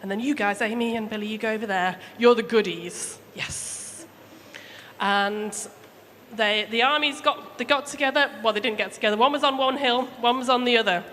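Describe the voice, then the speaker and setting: A woman with a fairly high voice tells a story to children. She speaks simply and chattily, involving her listeners as she goes. The sound is reverberant, as in a large hall, with faint background noise. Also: moderate pace, a bit bright. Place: church hall during a service.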